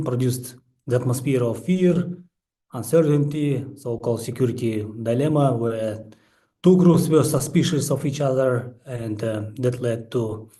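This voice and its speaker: A man who is not a native English speaker, giving an academic presentation as a researcher, halting and full of hesitations. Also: mid-range voice, dark tone. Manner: halting, frequent hesitations and pauses. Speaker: researcher and book author presenting his study.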